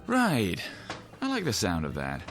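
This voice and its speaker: Slow, low-voiced urbane newspaperman. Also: huge pitch swings, a bit bright, exaggerated voice. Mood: wry musing. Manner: wry, theatrical, leisurely phrasing. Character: urbane, musing newspaperman drinking at a bar. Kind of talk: radio drama dialogue.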